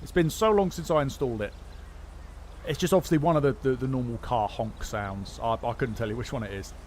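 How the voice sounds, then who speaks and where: A man's voice: medium pitch, brisk, a little mellow, slight background noise. Rambling male game streamer. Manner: rambling, many fillers and restarts. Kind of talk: casual livestream chat, answering viewer questions.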